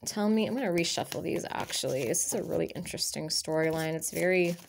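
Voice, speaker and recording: A woman's voice: lowish voice, fast talker, creaky, slight background noise. A woman with a stuffy nose from allergies, reading tarot cards aloud in a loose, rambling way, full of fillers and restarts. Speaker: tarot reader. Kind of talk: casual tarot reading monologue. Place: indoors at a table of tarot cards.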